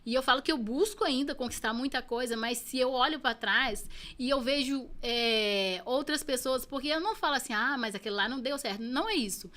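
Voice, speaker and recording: Brazilian woman in her 30s, bright sharp voice, earnest and reflective. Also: sits high, a little fast. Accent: Brazilian Portuguese. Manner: earnest, reflective, repeats phrases for emphasis. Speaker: podcast guest. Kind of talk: personal storytelling in an interview.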